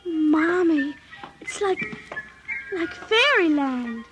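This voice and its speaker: A woman playing an eager, wide-eyed little girl in a 1950s radio drama, excited and childlike. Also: very high voice, very slow, full character voice. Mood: wondering. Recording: old 1950s radio recording, music behind.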